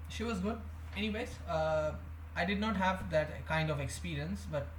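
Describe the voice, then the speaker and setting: Young man, fairly high voice, halting confessional vlog talk, echoey room, faint hum. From India. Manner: hesitant, halting, many fillers and restarts. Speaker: vlogger. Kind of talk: rambling confessional talk to camera.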